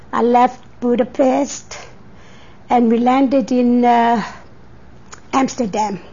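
An eighty-year-old woman from Hungary with a Hungarian accent, recalling her life in a deliberate way for an oral history interview on tape. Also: fairly high pitch, gravelly, warm tone, slightly rough. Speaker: interviewee, immigrant. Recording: dull 1980s tape interview recording.

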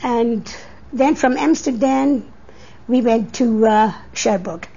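An eighty-year-old woman with a Hungarian accent tells her memories in short, deliberate phrases. Her voice is fairly high, mellow and raspy. It is an oral history interview on tape. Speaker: interviewee, immigrant. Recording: dull 1980s tape interview recording.

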